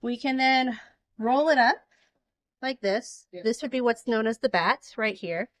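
A woman's voice: a little high, a little slow. A woman in her thirties giving an informal hands-on demonstration, explaining things simply, recorded indoors on a camera a short distance away. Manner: explanatory, uses simple everyday comparisons. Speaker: fiber spinning demonstrator. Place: indoor farm shop with concrete floor. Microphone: handheld camera a short distance away.

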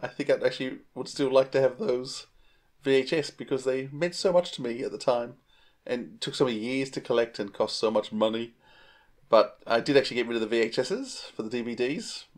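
A man's voice: medium pitch, fairly quick. A middle-aged man with an Australian accent, chatting casually as a podcast co-host on a video call. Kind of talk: casual chat.

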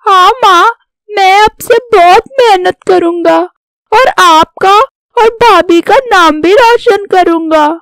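A woman's voice: extremely high pitch, slow pace, a bit bright, no room sound, full character voice. A woman voicing an earnest, careful little boy who looks up to soldiers, in a cartoon story. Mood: determined. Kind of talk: scripted Hindi cartoon story dialogue.

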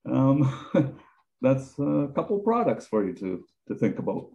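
A man's voice: medium pitch, sing-song, unhurried, vocal fry, dark tone. A man presenting a product on a video call, casual and rambling with fillers and restarts. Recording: dull lo-fi video call audio.

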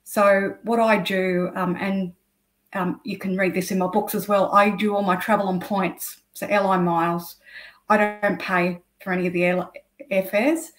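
A woman's voice: medium pitch, level tone, moderate pace. A middle-aged woman with an Australian accent, explaining at length as an interview guest, with many ums. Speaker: guest, travel blogger. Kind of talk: video call interview. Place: indoor room. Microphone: video call. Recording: echoey room over video call.

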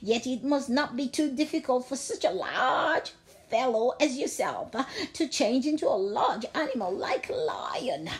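A woman's voice: high voice, animated, a little slow. A woman voicing a sly, scheming male talking cat who meows between his words. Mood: wheedling. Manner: meowing between words, fierce threats.

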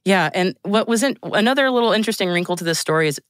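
A woman's voice: medium pitch, animated, quick pace, dry recording. Chatty woman guest, dry studio sound. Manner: chatty, laughs often, many restarts. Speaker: guest podcast host. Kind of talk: casual chat.

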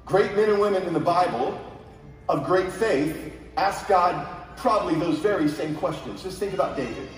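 A man's voice: high-pitched, animated, moderate pace, faint music behind. A man preaching a conversational sermon with rhetorical questions, speaking directly to listeners over a PA in a large auditorium. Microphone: heard over PA from audience seats. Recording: very echoey hall from far off.

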